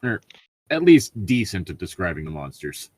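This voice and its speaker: A man's voice: low voice, sing-song, moderate pace, a little mellow, husky, dry recording. A man co-hosting a podcast, switching between casual chat and reading stories aloud.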